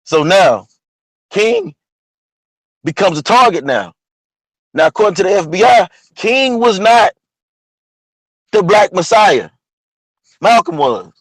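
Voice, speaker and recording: A man with a partly lost, hoarse voice, talking casually about history as host of an online show, often asking "you know what I'm saying?". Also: sits high, huge pitch swings, takes it slowly, long pauses, no room sound, poor audio. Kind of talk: casual history talk monologue.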